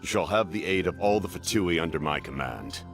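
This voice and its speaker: An imposing commander with an extremely deep voice. He is measured, formal and aloof, in game dialogue with faint music underneath. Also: expressive. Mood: grudging, stern. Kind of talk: video game voice acting.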